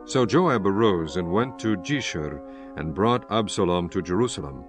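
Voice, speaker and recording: Low-voiced man narrating scripture over music. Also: animated, normal speed.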